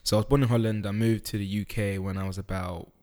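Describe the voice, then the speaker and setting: A man, a podcast guest talking through his childhood in an easygoing, unhurried way with frequent ums. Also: fairly low pitch, steady tone, a little mellow. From East London, UK. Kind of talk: casual interview chat.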